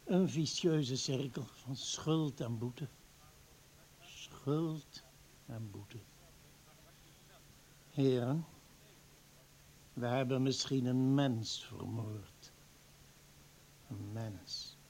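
Over a hissy old radio recording comes a shaken professor. He speaks extremely slowly, solemn and halting, as though conscience-stricken. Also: medium pitch, darkish tone, poor audio. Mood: shaken, horrified. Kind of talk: radio play acting.

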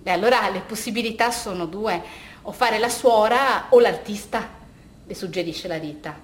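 A woman performing a theatrical monologue, telling a story dramatically with halting repetitions. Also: medium pitch. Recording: very echoey room with faint noise.

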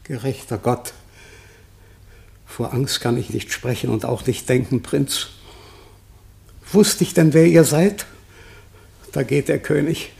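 A man's voice: medium pitch, slow, vocal fry, pauses often, dark tone. An older actor playing a humble old shepherd father in a classical radio play, theatrical and plaintive. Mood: frightened, reproachful. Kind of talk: German radio play, classical stage verse.

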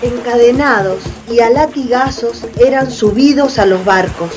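A woman's voice: on the high side, measured pace, music in the background. A woman giving scripted dramatic narration in a radio play.

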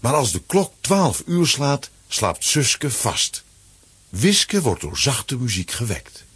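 A man with a Flemish accent and a husky voice, narrating a children's adventure as a scripted audio drama. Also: mid-range voice, very animated, takes it slowly, a bit bright, no echo, exaggerated voice. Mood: suspenseful.

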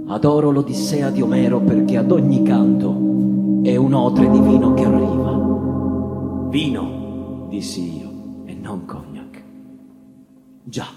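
An actor playing a wistful Italian officer of the First World War, reciting his trench memoir theatrically, with drawn-out words and swelling, fading emphasis. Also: medium pitch, close to an everyday voice. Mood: animated, musing. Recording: echoing voice mixed with music. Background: guitar and percussion music.

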